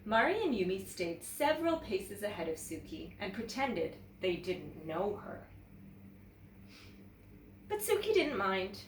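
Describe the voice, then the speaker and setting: A woman teacher reading a children's story aloud in an expressive, animated way, talking directly to young viewers. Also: mid-range voice, unhurried. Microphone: camera mic at some distance. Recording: roomy, distant mic with background noise.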